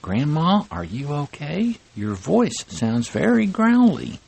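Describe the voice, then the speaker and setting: Guy putting on a tiny girl voice, way up high, all innocent and wondering. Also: wildly swooping pitch, slow pace, vocal fry, darkish tone, slightly rough, full character voice. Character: small sweet young girl.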